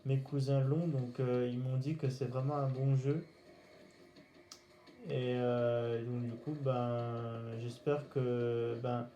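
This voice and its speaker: A man giving casual gaming commentary in a halting, hesitant way, searching for words. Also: mid-range voice, warm tone. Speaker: gaming video host. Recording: roomy echo, faint background noise.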